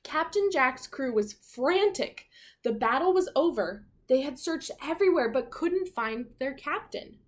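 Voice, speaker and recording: High-voiced woman reading a storybook aloud. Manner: expressive, pausing to comment on pictures and prompt children. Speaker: teacher reading a picture book to children. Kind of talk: storybook read-aloud with asides and questions to young listeners.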